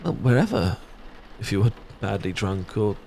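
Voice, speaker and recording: Man acting a flustered, stammering British best friend, lively intonation. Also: on the low side, normal speed, creaky, slight background noise. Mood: tender, bashful. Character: shy, sweet male best friend secretly in love, easily flustered. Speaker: audio roleplay performer. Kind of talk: romantic ASMR audio roleplay.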